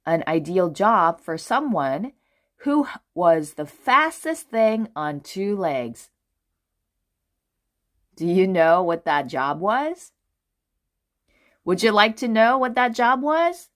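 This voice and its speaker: A woman reading a children's storybook aloud in an expressive, deliberate way with dramatic phrasing. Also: mid-range voice. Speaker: children's book reader.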